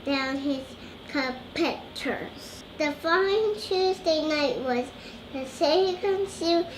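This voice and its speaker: A young girl reading a storybook aloud haltingly, stumbling over words and repeating phrases. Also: high-pitched. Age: child. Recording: roomy echo with clear background noise.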